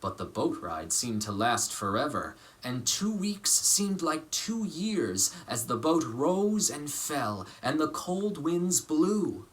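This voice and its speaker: A man reading a children's story aloud as an actor, with deliberate, theatrical phrasing and dramatic emphasis. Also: a little high, sing-song. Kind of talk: expressive storybook narration.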